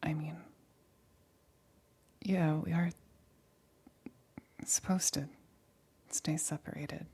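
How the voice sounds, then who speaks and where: A woman playing an anxious, lonely female lab assistant in an ASMR roleplay, whispering softly and intimately with halting, hesitant stammers. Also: sits low, steady tone, exaggerated voice. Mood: anxious, pleading. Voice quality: breathy, whispered.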